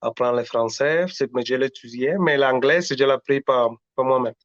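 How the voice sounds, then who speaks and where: Singer guest, male, halting and earnest, middle pitch, muffled lo-fi recording. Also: dry recording. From Latin America. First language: Spanish. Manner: earnest, halting, frequent restarts. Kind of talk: casual interview talk. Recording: dull lo-fi audio.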